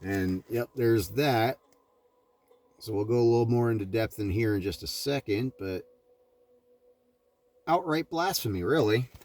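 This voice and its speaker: A man reading a religious book aloud in deliberate, emphatic phrases, breaking into informal commentary as he goes. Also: mid-range voice, lively intonation, darkish tone, dry recording. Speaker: reader of a religious book, adding asides.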